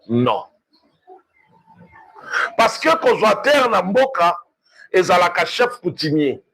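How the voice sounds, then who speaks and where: A man with a Congolese accent makes an impassioned political argument in a high, raspy voice. His pitch swings widely as he stresses points, repeats words and throws out rhetorical questions. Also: a bit bright, rough recording. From Democratic Republic of the Congo.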